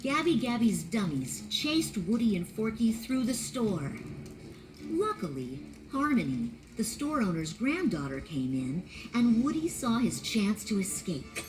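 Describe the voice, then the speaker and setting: A woman narrating a children's read-along storybook in a clear, expressive storytelling manner. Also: on the high side, measured pace, rough recording.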